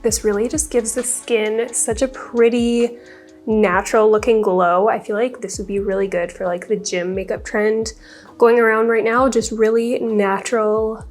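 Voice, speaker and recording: A woman's voice: fairly high pitch, little pitch movement, moderate pace, soft background music. A young woman with a congested voice, chatting casually through a makeup routine as a beauty vlogger. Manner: chatty, upbeat, rambling product talk. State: congested from a cold. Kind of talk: casual get-ready-with-me chat.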